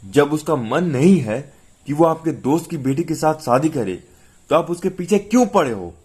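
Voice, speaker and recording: A man's voice: mid-range voice, a little slow, a little mellow, lightly acted. A man voicing a worried, protective mother and defiant wife, pleading and reproachful in a narrated story. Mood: indignant.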